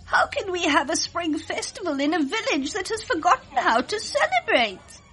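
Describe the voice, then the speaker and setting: High plaintive village elder voiced by a woman. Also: sing-song, normal speed, fairly bright tone, full character voice. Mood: despondent. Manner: plaintive, sighing. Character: despondent village elders lamenting in a storybook.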